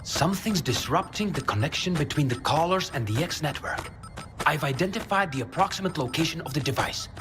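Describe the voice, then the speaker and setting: Man playing animated, fussy video game scientist. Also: on the high side, natural voice. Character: fussy, ambitious male scientist obsessed with his research. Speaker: quest-giving scientist.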